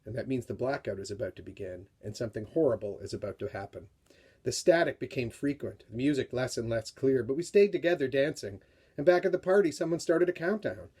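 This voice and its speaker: A middle-aged man giving an author's talk and read-aloud for young readers, telling it in an animated, dramatic storyteller's manner. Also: mid-range voice, brisk, slightly rough. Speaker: children's author reading from his book.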